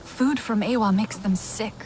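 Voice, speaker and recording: A woman's voice: medium pitch, unhurried, darkish tone, close to an everyday voice. Earnest young woman warrior played by an actress, faint noise. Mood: grim, earnest. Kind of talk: video game voice acting.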